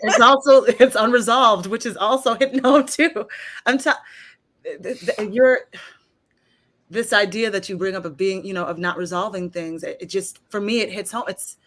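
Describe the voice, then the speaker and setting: A woman's voice: medium pitch, moderate pace, a bit bright. A woman interviewing in a casual, thoughtful conversational style, attentive and affirming as she responds. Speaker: interviewer and actor.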